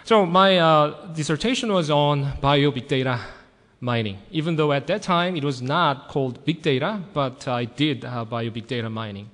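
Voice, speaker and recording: A man's voice: a little high, normal speed, few pauses, fairly bright tone. A middle-aged man, a native Korean speaker with a Korean accent, gives an informal conference presentation as a professor, telling anecdotes with light self-deprecating humor. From South Korea. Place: large conference hall, amplified talk. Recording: echoey hall with long reverb.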